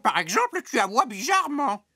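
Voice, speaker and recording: A man voicing an excitable, teasing male animal with a creaky voice in a children's cartoon. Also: very high voice, brisk, loud music behind the voice, heavily acted.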